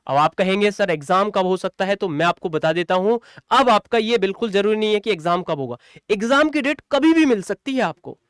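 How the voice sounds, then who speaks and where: High-pitched man, teacher explaining. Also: smooth, dry recording, studio quality. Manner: emphatic, repetitive, addresses students directly. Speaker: exam coaching teacher. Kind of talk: explanatory news update for students.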